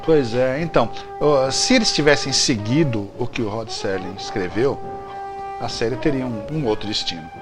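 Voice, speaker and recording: A man's voice: mid-range voice, normal speed, music in the background. A Brazilian man hosting a casual, colloquial chat show, repeating himself for emphasis. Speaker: host. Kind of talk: casual informal chat about old TV series.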